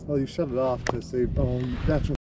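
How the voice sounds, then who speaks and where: Man, casual joking banter, outdoors on a pond, loud background noise. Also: mid-range voice, steady tone, brisk, far from the mic. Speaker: fishing buddy.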